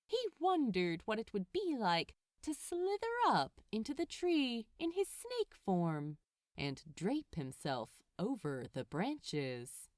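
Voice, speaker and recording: A woman reading a story aloud as narrator, with expressive, deliberate phrasing. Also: medium pitch, no echo, clean studio sound.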